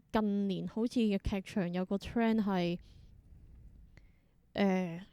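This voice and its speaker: Mellow-voiced Hong Kong Cantonese woman. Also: medium pitch, gravelly, no room sound. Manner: thoughtful, mixes in English words. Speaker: interview guest, theatre performer and creator. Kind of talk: reflective interview conversation.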